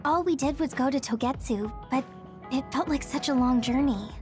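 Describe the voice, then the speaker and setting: A woman playing a gentle, hopeful young squad mate in a video game. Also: sits high, moderate pace, lightly acted. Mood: thoughtful. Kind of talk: video game cutscene acting. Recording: music clearly behind the voice.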